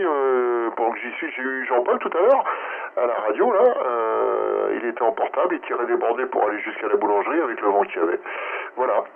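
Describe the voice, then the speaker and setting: A man's voice: fairly low pitch, vocal fry. A male amateur radio operator chatting casually over the air in a hesitant, rambling, technical way. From France. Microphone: radio transceiver microphone. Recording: noisy narrowband ham radio transmission.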